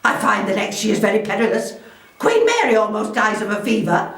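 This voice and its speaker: A woman playing a proud, shrewd, wealthy Elizabethan noblewoman, delivering a formal, theatrical first-person historical monologue in a deliberate way. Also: medium pitch, very animated. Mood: grave. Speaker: volunteer historical re-enactor. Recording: echoey room, distant microphone.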